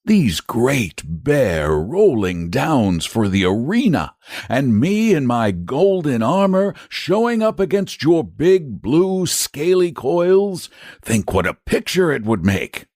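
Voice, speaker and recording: A man's voice: mid-range voice, sing-song, takes it slowly, darkish tone, no room sound, clean studio sound, voice pushed up, exaggerated voice. A man voicing a patient, earnest young knight who talks persuasively.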